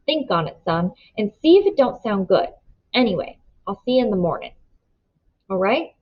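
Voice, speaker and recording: A woman's voice: mid-range voice, very animated, moderate pace. A woman voicing an eager, dreamy teenage boy who coaxes in folksy country talk. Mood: earnest hushed. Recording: dull lo-fi recording.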